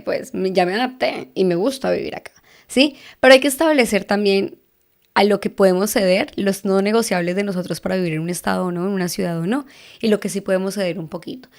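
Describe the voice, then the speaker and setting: Woman, Bogotá Colombian accent, vocal fry, podcast host, casual explainer, frequent tag questions. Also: medium pitch. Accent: Bogotá Colombian Spanish. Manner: chatty, asks listeners for agreement with frequent tag questions. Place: living in Texas.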